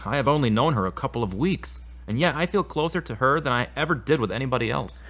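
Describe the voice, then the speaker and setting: A man's voice: mid-range voice, expressive, fast, fairly bright tone. A man acting as a troubled, self-doubting therapy patient in a scripted audio drama, speaking in an earnest, confessional way. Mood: earnest, guilty. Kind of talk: scripted audio drama dialogue and spoken prayer.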